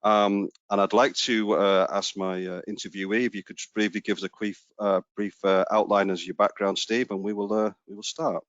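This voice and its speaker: A man's voice: lowish voice, flat delivery, moderate pace, few pauses, no room sound. A man, hosting a webinar interview, talking hesitantly with many restarts over a video call. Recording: dry lo-fi video call audio.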